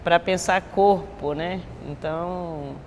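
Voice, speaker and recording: A Bahian-accented woman in her sixties speaks slowly and reflectively in a lowish voice. She often closes her thoughts with a tag question. Her voice trails off into a long reverb. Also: steady tone, darkish tone, a little hiss or hum. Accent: Bahian Portuguese. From Bahia, Brazil. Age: 60s. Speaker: capoeira master. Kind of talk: recorded interview testimony.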